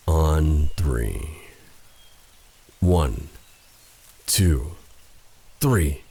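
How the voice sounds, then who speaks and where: A man playing a feared dark sorcerer with a tender side, in a courtly, romantic fantasy ASMR roleplay style. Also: very deep voice, husky, no echo, exaggerated voice. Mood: tender, teasing. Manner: courtly, formal phrasing with endearments. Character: feared dark sorcerer, tender and flustered toward his captive. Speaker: voice actor in audio roleplay.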